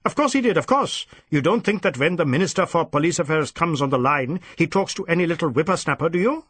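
A man's voice: fairly high pitch, expressive, quick pace, fairly bright tone, breathy edge, no echo, pitched above the performer's own voice. A man voicing an irascible, overbearing senior Indian police chief who snaps and repeats himself impatiently, in an audiobook. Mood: irritated, impatient. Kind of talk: audiobook character acting.

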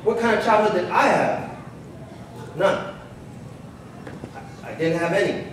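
A man's voice: high voice, unhurried. A man on stage playing a wounded, resentful grown son in a staged reading, speaking in an accusing, aggrieved way. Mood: hurt, resentful. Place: large echoey public hall with glass balcony. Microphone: handheld microphone, heard through room speakers. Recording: echoey hall, distant, faint noise.

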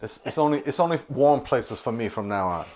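A man's voice: medium pitch, expressive, brisk, a little mellow. A middle-aged American man from New York City chatting casually, slangy and given to repeating himself. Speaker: guest. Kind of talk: casual chat. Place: small home office indoors. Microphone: clip-on lapel mic.

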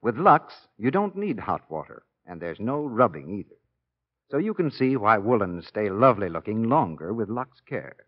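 A man working as a radio announcer, giving a polished 1940s advertising pitch. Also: very animated, normal speed. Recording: dull 1940s radio broadcast.

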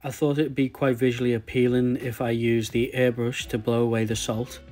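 A young man with a sore throat, quietly talking through how he customizes an action figure. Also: mid-range voice, little pitch movement, normal speed, soft background music. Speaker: toy customizer host. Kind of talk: casual tutorial narration.

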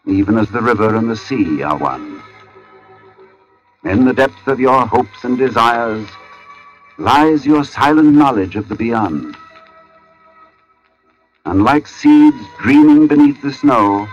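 An actor playing a grave, measured artist who narrates in the first person in an old radio drama. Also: male voice, medium pitch, very animated. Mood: solemn recitation. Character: artist recounting an uncanny encounter, grave and measured. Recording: dull old radio recording, music behind.

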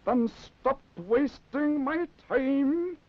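A man's voice: very high voice, extremely slow, exaggerated voice. An actor playing an irritable, blustering middle-aged male boss in a 1960s children's puppet show. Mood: angry. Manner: stammering, blustering, exasperated. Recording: dated, muffled 1960s TV soundtrack.

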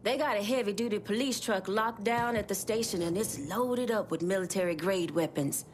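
An actress playing a composed, knowing Vodou shopkeeper who leads an underground resistance in a video game, speaking in a measured, conspiratorial way. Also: female voice, mid-range voice, a little hiss or hum, natural voice. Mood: warm, resolute. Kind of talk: video game character dialogue.

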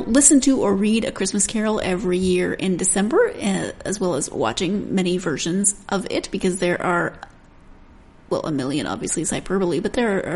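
A woman's voice: medium pitch, a little mellow, slightly rough. A woman hosting a book podcast in a conversational style. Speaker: podcast host.

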